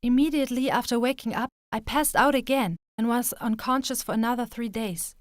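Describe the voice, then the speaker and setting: A woman playing an earnest, expressive young female trainer in an anime-style manga dub. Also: a little high, fairly quick, no echo, clean studio sound, lightly acted. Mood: reflective. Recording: music under the voice. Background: background music.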